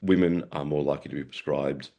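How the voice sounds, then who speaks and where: A man with a sore throat and a gravelly voice, giving a webinar lecture over a video call, halting and repeating words as he goes. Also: extremely low pitch, fairly even intonation, highs cut off. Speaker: guest presenter, psychiatrist.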